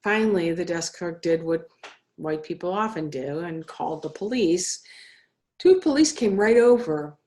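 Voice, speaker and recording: A woman reading a children's story aloud in a deliberate, expressive way, as a guest minister. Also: fairly low pitch. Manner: slow, deliberate, expressive phrasing. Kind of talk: storybook read-aloud for all ages.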